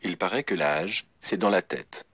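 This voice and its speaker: A male computer voice assistant giving evasive, witty canned replies in a smooth synthetic voice. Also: low voice, a little slow, a bit bright, dry recording. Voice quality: smooth synthetic text-to-speech voice. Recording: noisy phone speaker.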